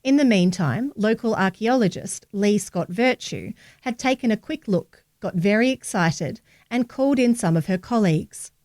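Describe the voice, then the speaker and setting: A woman's voice: medium pitch, lively intonation, normal speed, few pauses, a little mellow, no room sound. A woman reading a local newspaper article aloud as scripted narration.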